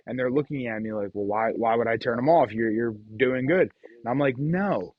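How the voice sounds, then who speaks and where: A man's voice: medium pitch, moderate pace, muffled recording. A man chatting casually and telling gambling stories as the host of the show. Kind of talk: casual chat, storytelling about craps.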